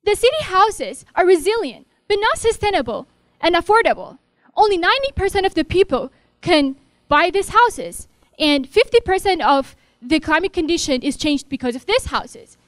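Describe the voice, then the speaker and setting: A young Ethiopian woman with an Ethiopian accent, giving a storytelling research pitch into a handheld mic in a large hall. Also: very animated, moderate pace. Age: young adult. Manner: storytelling, vivid and direct. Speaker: graduate student pitching research. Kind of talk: memorized elevator pitch. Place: large hall with glass walls, indoors. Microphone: handheld microphone.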